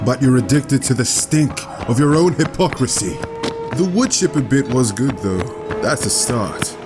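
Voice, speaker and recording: A man's voice: medium pitch, animated, moderate pace, lightly acted. A man playing a gleeful, sadistic supervillain who taunts theatrically and mockingly in a comic book dub. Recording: dramatic music behind voice.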